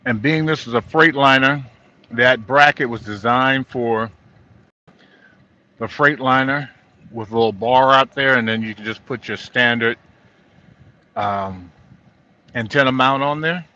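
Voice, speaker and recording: Bright-voiced middle-aged man, dull lo-fi recording. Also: mid-range voice, a little slow, long pauses. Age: middle-aged or older. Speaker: trucker and vlog host. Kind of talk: casual explanatory vlog talk. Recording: dull lo-fi sound inside vehicle.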